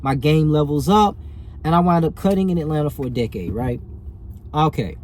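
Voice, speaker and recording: A man's voice: fairly high pitch, lively intonation, normal speed, a little mellow, a little hiss or hum. A man, telling a personal story casually and animatedly, as if to viewers. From rural Alabama, US. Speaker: vlogger telling personal stories.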